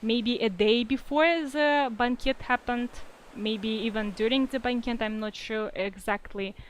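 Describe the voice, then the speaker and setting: A woman's voice: fairly high pitch, steady tone, a little slow, slight background noise, rough recording. A young woman with a Russian-influenced accent answering reader questions in a casual livestream, hesitant and full of fillers. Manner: hesitant, many fillers and restarts, reads comments aloud. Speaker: fanfiction writer answering readers.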